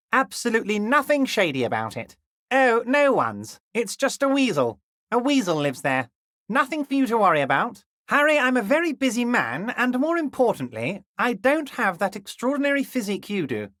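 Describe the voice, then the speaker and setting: Sly fake-friendly union boss for a video game, fairly high for a man, swoops up and down a lot, dry close recording. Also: sharp tone, no room sound, full character voice. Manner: smooth, ingratiating, slyly patronizing. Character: scheming jovial middle-aged male union boss. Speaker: dock union leader character.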